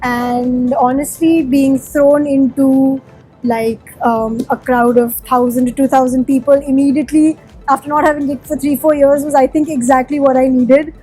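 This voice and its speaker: A woman's voice: high voice, fairly even intonation, moderate pace, dark tone, faint music behind, rough recording. A woman from Sikkim whose first language is Nepali, a musician answering interview questions in a chatty, candid way with plenty of fillers. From Sikkim, India.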